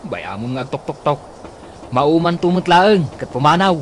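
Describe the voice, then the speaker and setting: Radio drama role: blunt, emphatic Ilocano villager, swinging intonation, noisy birdsong behind. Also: male voice, fairly high pitch, sing-song, normal speed.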